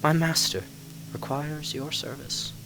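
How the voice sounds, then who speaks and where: A man voicing a mysterious female spirit in a storybook reading, soft, calm and portentous, taunting and commanding. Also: on the high side, a little slow, gravelly, over music, pitched above the performer's own voice, low-quality recording. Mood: calm, ominous.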